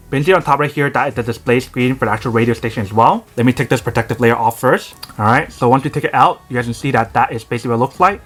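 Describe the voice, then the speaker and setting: A man walks viewers through a gadget in a casual review, his voice at an ordinary pitch. He talks very fast and leans on the same filler phrases again and again. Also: fairly bright tone. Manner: rapid, repetitive filler phrasing, addresses viewers as "you guys". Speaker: product reviewer. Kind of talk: casual product demo narration.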